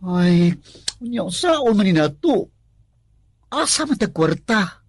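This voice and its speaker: A man playing an easygoing, money-strapped working husband in a Filipino radio drama, husky and questioning, with a Filipino accent. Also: high voice, very animated, takes it slowly, dry recording, clean studio sound, heavily acted. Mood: alarmed.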